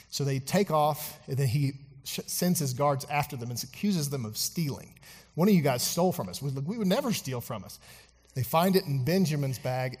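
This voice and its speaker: A man preaching a sermon in a church, talking conversationally with fillers and asides to the congregation. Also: mid-range voice. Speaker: pastor. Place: church sanctuary. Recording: long hall reverb from a church.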